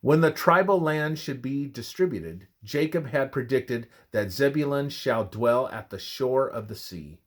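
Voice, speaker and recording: A man reading a scripted religious study with scripture citations in a measured, expressive, oratorical manner. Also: medium pitch.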